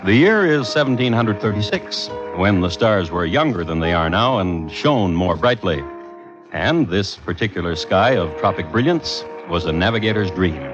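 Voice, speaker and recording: An actor playing a wry, genteel crime connoisseur, narrating with dry, measured delivery as a radio host. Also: male voice, low-pitched, expressive. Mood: solemn, wry. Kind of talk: 1950s radio scripted narration. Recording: muffled old radio recording, music behind.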